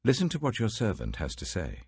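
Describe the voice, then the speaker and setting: A man's voice: on the low side, huge pitch swings, fairly quick, darkish tone, breathy edge, no room sound, clean studio sound, pitched above the performer's own voice, heavily acted. A man voicing a wise woman of an ancient city in a scripture reading, earnest and reproachful. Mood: earnest pleading.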